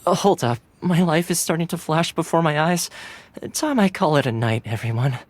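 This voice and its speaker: A man's voice: a little high, lively intonation, normal speed, slight background noise, natural voice. A man playing a cheerful, easygoing young retainer in a video game, talking in a friendly, playful way. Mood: queasy, dramatic. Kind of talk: video game character acting.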